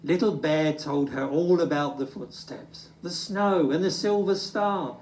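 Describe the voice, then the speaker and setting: Expressive storybook reader, unhurried and dramatic, recorded at some distance in an echoing room. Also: mid-range voice. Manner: dramatic, drawn-out emphasis. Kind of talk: expressive children's story reading. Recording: roomy, distant microphone.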